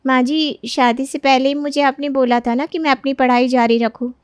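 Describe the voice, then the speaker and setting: A woman's voice: high-pitched, flat delivery, moderate pace, clean tone, no room sound, studio quality, pitched above the performer's own voice, close to an everyday voice. A woman voicing a polite, studious young daughter-in-law in a family story, speaking earnestly. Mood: polite, happy. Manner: respectful, earnest.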